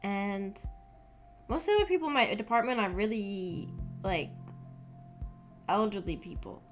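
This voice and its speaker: A woman's voice: mid-range voice, expressive, measured pace. A young woman chats informally to her stream audience. Her delivery is shy and hesitant, wandering as she searches for how to put things. Background music plays under her voice. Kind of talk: casual chat with livestream viewers.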